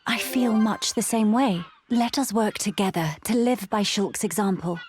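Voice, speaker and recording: A woman's voice: mid-range voice, normal speed, a little mellow, a little hiss or hum, natural voice. A woman voicing a dignified, solemn young noblewoman in a video game, formal and composed. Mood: gentle, composed. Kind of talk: dramatic video game voice acting.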